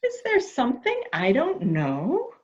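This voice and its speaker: Wary woman played by an actress humoring a madman: highish, unhurried, flattering, stagey mystery drama, muffled audio. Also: exaggerated voice. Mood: coaxing, wary. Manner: soft questioning, flattering, theatrical. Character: coaxing, anxious woman humoring a dangerous madman. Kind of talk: amateur murder mystery audio drama acting. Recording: dull, muffled lo-fi sound.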